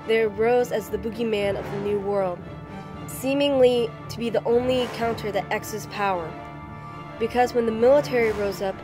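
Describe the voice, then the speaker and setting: Woman narrating dark fantasy over music. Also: medium pitch. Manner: serious, storytelling delivery. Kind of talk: dramatic scripted narration of a dystopian fantasy intro. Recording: music behind the voice. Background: background music.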